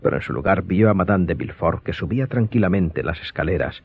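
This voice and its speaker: A man giving scripted, dramatic audiobook narration in a husky voice. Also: low-pitched, expressive, fast talker, no room sound. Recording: dull lo-fi sound.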